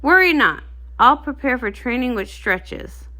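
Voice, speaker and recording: A woman's voice: high voice, big pitch swings, a little slow, bright, forceful tone, heavily acted. Woman voicing a folksy, earnest pony hero. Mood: eager, proud. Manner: folksy old-fashioned speech. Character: earnest humble brave male pony warrior, folksy.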